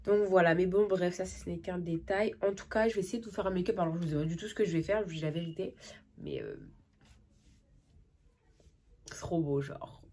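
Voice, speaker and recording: A young woman with a cold, chatting excitedly and casually through a makeup tutorial, with lots of slang and trailing sentences. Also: fairly low pitch, quick pace. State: has a cold. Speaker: makeup vlogger.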